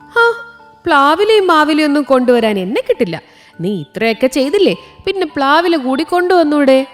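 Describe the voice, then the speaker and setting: A woman's voice: high voice, sing-song, normal speed, faint music behind. A woman voicing a lazy, greedy female housefly in a children's cartoon story, whining and stubborn. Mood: stubborn, defiant. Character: lazy, greedy female housefly who shirks all work.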